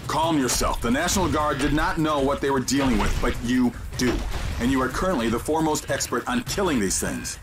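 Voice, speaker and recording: An actor playing a composed, commanding mission guide in a sci-fi video game, speaking in a clipped, technical, instructive way. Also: male voice, mid-range voice, a little fast, music in the background, close to an everyday voice. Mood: calm, firm. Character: composed, commanding man guiding a soldier through alien invasion. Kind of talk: scripted video game voice acting.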